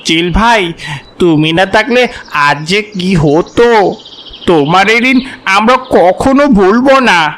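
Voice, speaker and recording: Cartoon father duck, male actor: high register, unhurried, polite, protective, slight noise. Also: animated, fairly bright tone, full character voice. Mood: earnest. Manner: earnest, polite. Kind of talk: cartoon voice acting.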